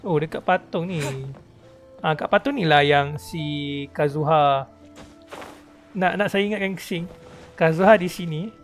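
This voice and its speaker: High-voiced Malaysian man streaming a game. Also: moderate pace, pauses often. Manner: chatty, code-switching, slangy. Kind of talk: casual live gameplay commentary. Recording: game music behind the voice. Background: game music.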